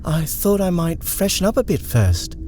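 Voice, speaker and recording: A man voicing a polite, reserved young woman who answers in a quietly reluctant way. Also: a little high, very animated, normal speed, slight background noise, voice pushed up.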